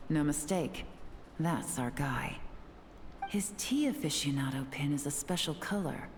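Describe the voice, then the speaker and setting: A woman's voice: low-pitched, animated, measured pace, slight background noise. An actress playing a cool, shrewd woman agent in scripted game dialogue, composed, calculating and confident. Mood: watchful.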